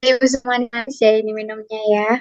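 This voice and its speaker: A woman's voice: on the high side, flat delivery, fairly quick, dry recording. A young woman acting as a nutrition counselor, asking polite, formal questions step by step. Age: young adult. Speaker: nutrition counselor in a class role-play. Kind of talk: scripted role-play consultation. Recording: dry, muffled lo-fi recording.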